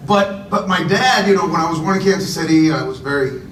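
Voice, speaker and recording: A man who grew up in Puerto Rico gives a conversational conference talk to a live audience, often repeating words and making chatty asides. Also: brisk. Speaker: speaker. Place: conference room. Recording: roomy echo, voice from far off, faint rumble.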